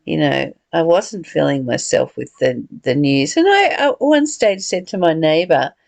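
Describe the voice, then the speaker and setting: A woman's voice: deep voice, very animated, normal speed, vocal fry, darkish tone, no room sound. A woman leading a reflective spiritual discussion, earnest and given to rhetorical questions and repeated phrases. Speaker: study group leader.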